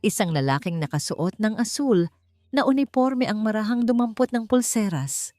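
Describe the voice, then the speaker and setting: A woman with a Filipino accent narrating a scripted romance story in a dramatic, deliberate way. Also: medium pitch, animated, dark tone, dry recording. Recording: music behind the voice. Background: background music.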